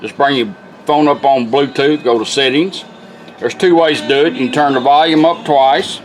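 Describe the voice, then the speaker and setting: A man's voice: mid-range voice, normal speed, poor audio. A man giving a casual, plain-spoken, step-by-step hands-on tutorial. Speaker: product demonstrator, radio restorer. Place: indoor workbench.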